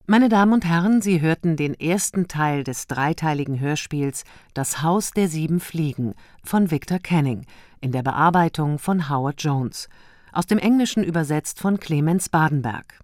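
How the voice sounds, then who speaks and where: Low-voiced, formal woman radio announcer. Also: lively intonation, darkish tone, dry recording, clean studio sound. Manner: formal, measured. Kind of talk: formal scripted credits announcement.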